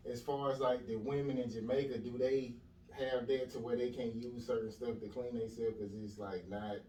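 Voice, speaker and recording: A man's voice: medium pitch, flat delivery, moderate pace, dark tone, a little hiss or hum. A man hosting a podcast, asking blunt, offhand questions in slangy talk. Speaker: podcast host. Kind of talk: casual interview chat. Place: echoey podcast room. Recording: echoey room, distant mic.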